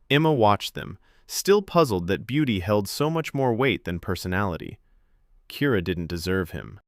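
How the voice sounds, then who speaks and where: A man narrating a scripted story in a measured, expressive audiobook style. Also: fairly low pitch, no room sound, clean studio sound. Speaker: fiction podcast narrator.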